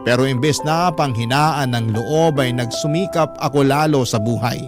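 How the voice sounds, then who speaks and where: A man's voice: medium pitch, normal speed, over music, close to an everyday voice. An actor playing a devoted, hardworking young family man with a Filipino accent, telling his hardships as an earnest, confiding first-person radio drama narration. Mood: hurt but resolute.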